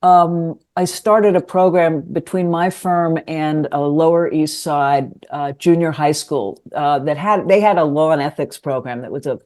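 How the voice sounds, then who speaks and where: An older woman from New York City, a lawyer and writer, answering interview questions in a thoughtful, hesitant way with many pauses. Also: sits low, a little mellow. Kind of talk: conversational interview.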